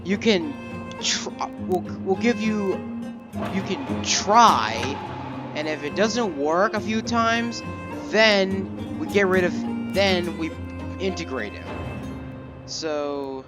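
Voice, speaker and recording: Hesitant young man commentating a game. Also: high voice. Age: young adult. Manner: hesitant, halting, thinking aloud. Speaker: gamer recording a playthrough. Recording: game music behind voice.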